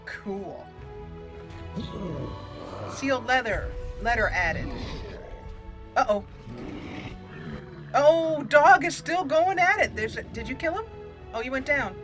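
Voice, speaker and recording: A woman's voice: high-pitched, big pitch swings, slow pace, pauses often. A woman giving casual, chatty gaming commentary, thinking aloud and exclaiming as she plays. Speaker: gameplay video host. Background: game music.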